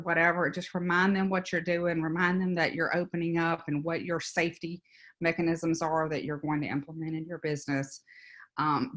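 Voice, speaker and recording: A woman's voice: fairly low pitch, fairly bright tone. A woman with a Louisiana Southern accent giving an informal, encouraging workshop presentation in an emphatic, conversational way. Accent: Louisiana Southern American. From Louisiana, United States. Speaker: workshop presenter, business consultant. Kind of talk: informal instructional webinar presentation.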